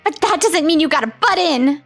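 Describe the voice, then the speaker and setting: A woman's voice: high-pitched, expressive, brisk, a bit bright, no echo, soft background music. A woman voicing a brash, streetwise delinquent schoolgirl who leads a gang, in a manga voice-over. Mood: irritated. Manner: blunt, streetwise, tough talk. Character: tough delinquent schoolgirl gang chief, brash, secretly smitten.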